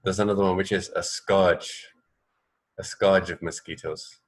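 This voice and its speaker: Male radio co-host with a deep voice, conversational and informal, prone to hesitant repetition. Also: fairly even intonation, measured pace. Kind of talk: casual banter chat.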